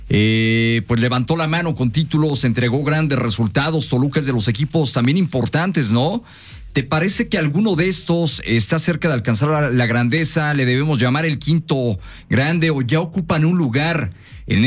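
A man hosting a sports radio show, asking long conversational questions. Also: on the high side.

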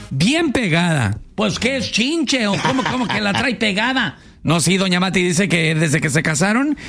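A Mexican man hosting a comedy radio show, joking and teasing in quick banter. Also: high voice, a bit bright. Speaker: radio host.

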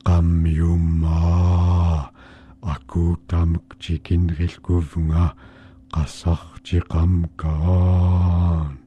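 A man voicing a strange, threatening creature that demands food in a slow, drawn-out way. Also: low voice, completely flat delivery, dark tone, dull audio, slight background noise. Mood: menacing. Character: menacing hungry creature at the door.

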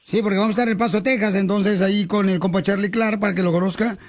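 High-voiced teasing radio host man. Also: steady tone, quick pace. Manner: teasing, calls people pet names. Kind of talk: playful radio matchmaking segment.

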